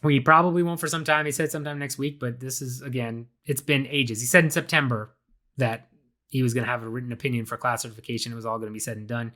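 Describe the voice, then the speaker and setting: A young adult man hosting a solo podcast, talking casually with lots of fillers and restarts, his voice a bit raspy from being ill. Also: mid-range voice, fairly even intonation, fast talker, bright, forceful tone. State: slightly raspy from illness. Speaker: podcast host.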